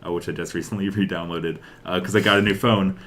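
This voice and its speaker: A man's voice: deep voice, steady tone, fast, fairly bright tone, slight background noise. A young man co-hosting a podcast, chatting casually about games and films in an enthusiastic, rambling way with hesitant phrasing. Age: young adult. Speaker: podcast co-host.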